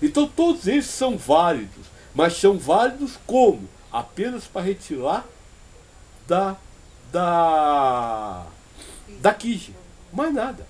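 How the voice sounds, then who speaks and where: High-pitched, animated Brazilian man teaching emphatically. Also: slow pace. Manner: emphatic, repeats phrases, uses rhetorical questions. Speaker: spiritual teacher leading a group discussion. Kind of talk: informal spiritual talk answering questions.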